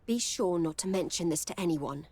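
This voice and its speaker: Guarded, world-wise game courtesan. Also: female voice, on the low side, lively intonation, normal speed, darkish tone, slightly rough, no room sound. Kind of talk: video game voice acting.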